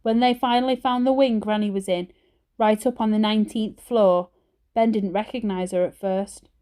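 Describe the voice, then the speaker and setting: A woman's voice: mid-range voice, fairly even intonation, normal speed, mellow, clean tone. A woman narrating a children's storybook expressively, like a teacher reading aloud.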